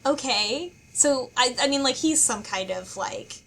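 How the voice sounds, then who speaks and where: A woman chatting casually as a podcast co-host, thinking aloud in halting, puzzled phrases. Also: high voice, lively intonation, gravelly, fairly bright tone. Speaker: book club podcast co-host. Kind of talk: casual chat, speculating about a story.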